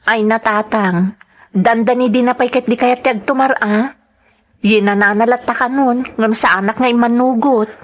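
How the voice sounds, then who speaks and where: A caring daughter played by a woman in an Ilocano radio-style drama. Her voice sits fairly high, and she speaks with earnest, worried concern, pleading and solicitous toward her parents. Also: steady tone, moderate pace, close to an everyday voice. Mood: concerned, chiding.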